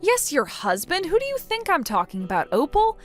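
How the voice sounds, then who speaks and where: A woman's voice: high voice, huge pitch swings, fairly quick, faint music behind, voice pushed up. A woman voicing a wronged bride in a melodramatic story, stern and businesslike, scolding and explaining firmly. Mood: exasperated. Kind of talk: acted dialogue in a melodramatic story.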